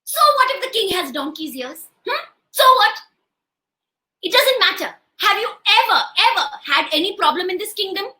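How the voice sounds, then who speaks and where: A woman's voice: very high-pitched, pushed and bright. A woman voicing a tiny, clever, bossy woman in a children's story, scolding with emphatic questions. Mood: challenging, indignant. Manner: emphatic, scolding, drawn-out insults, rhetorical questions.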